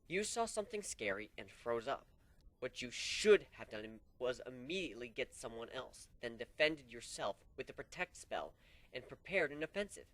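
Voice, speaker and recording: Brief: man voices stern male griffin captain, unhurried, cruel calm, animated delivery. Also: medium pitch, rough recording. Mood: stern lecture. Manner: unhurried, lecturing, coolly amused.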